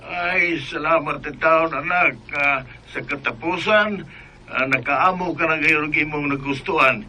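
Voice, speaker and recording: A man playing a humble, caring, middle-aged father in a radio drama, halting in speech, with a Bisaya Filipino accent. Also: a little high, natural voice. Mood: tender, grateful. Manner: hesitant, halting.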